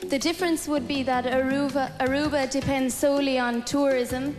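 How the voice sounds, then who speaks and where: A young woman answering onstage pageant interview questions in a composed, measured way. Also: sits high, steady tone, in a large hall, music in the background. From Ireland. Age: young adult. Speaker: pageant contestant. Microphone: handheld mic held by host.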